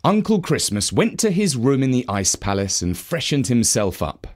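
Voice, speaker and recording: A man narrating a children's story from a script in an expressive, measured manner. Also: medium pitch.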